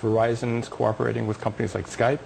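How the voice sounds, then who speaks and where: A man's voice: medium pitch, flat delivery, fast, creaky, darkish tone, a little hiss or hum. A man being interviewed as a company executive on a live business TV show, explaining technology with emphatic enthusiasm.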